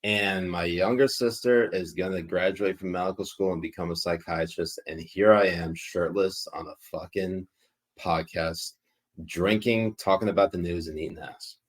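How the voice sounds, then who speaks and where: A man's voice: deep voice, fairly even intonation, normal speed. A man co-hosting a casual news podcast, rambling, profane and joking. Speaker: podcast co-host.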